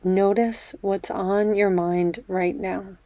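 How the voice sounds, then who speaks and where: Slow, mellow woman guiding meditation. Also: medium pitch, steady tone, no room sound. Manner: gentle, measured, soothing delivery with pauses. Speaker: meditation guide. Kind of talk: guided meditation, body scan.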